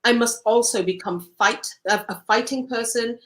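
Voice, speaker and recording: Woman from Cameroon, interview guest, earnest and reflective, pitched a little high. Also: moderate pace.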